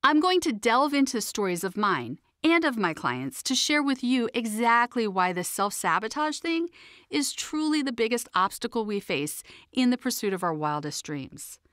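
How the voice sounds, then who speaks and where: A woman's voice: fairly high pitch, very animated, normal speed, fairly bright tone, no room sound, clean studio sound. A woman narrating her own self-help audiobook as a coach, in a sassy, emphatic, conversational way.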